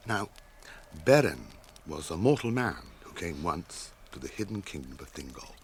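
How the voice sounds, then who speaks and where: A watchful wilderness ranger with a British accent for a radio play. His grave delivery swings expressively in a low register, measured like a storyteller's. Faint ambience sits behind him. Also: big pitch swings, a little hiss or hum. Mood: solemn, reverent. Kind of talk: radio drama acting.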